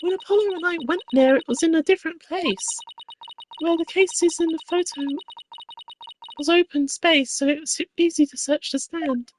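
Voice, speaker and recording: A man voicing a soft, hesitant young female defendant in a courtroom game. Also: extremely high pitch, normal speed, a little hiss or hum, pitched above the performer's own voice, low-quality recording. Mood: timid. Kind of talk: dramatic visual novel courtroom reading. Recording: game music behind the voice.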